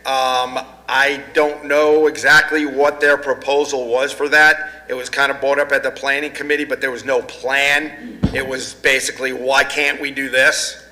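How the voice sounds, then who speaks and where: A man at a condo board workshop meeting, explaining the association's rules in a measured, step-by-step way with some hedging. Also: medium pitch, level tone, bright, forceful tone, low-quality recording.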